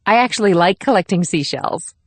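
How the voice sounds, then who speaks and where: A woman's voice: mid-range voice, expressive, a little fast, no room sound, close to an everyday voice. A woman playing a kind but guarded government agent in a thriller audiobook. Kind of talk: audiobook character dialogue.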